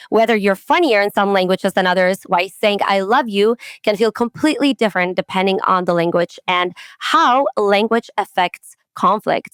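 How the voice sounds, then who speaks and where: A woman hosting a podcast, introducing her guest and asking friendly, measured questions. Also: medium pitch, clear, resonant tone, dry recording, studio quality. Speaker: podcast host. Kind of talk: podcast interview.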